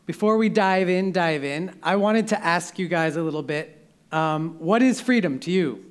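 A young man pastor preaching with casual, emotional asides, laughing often and clearing a scratchy throat into a handheld microphone in a church. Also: high voice, normal speed. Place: church sanctuary.

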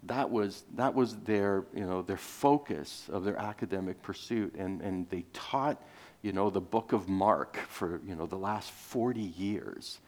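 A man's voice: sits low, a little slow, darkish tone. A man whose first language is Greek, preaching a sermon in a rambling way, with many restarts, fillers and small chuckles. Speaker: pastor.

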